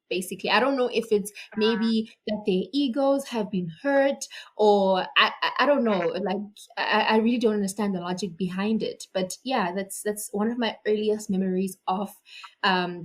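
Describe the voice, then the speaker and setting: Animated woman from Zimbabwe. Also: mid-range voice, normal speed. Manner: animated storytelling with repeated restarts. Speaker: podcast guest. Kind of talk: casual conversation.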